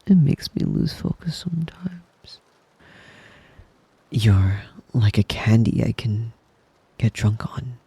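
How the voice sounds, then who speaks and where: A man playing a possessive, doting yandere wolf boy in an intimate ASMR roleplay, slow and soft, cooing praise and often dropping into a whisper at a close mic. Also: medium pitch, expressive, slightly rough, no room sound, exaggerated voice. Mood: tender, besotted.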